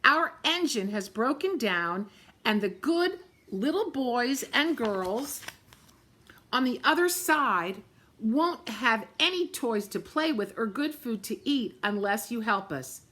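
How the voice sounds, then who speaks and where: A woman's voice: fairly high pitch, animated, takes it slowly, sharp tone, full character voice. A woman voicing a group of toys and dolls in a storybook, begging for help. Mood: pleading. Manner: begging, imploring. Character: pleading chorus of dolls and toys.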